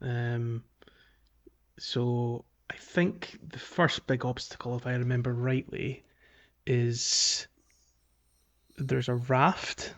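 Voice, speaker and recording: A man's voice: medium pitch, fairly even intonation, slow, long pauses. A man co-hosting a podcast, discussing a game casually in a hesitant, explanatory way with meandering phrasing. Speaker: podcast co-host. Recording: dull, band-limited voice recording.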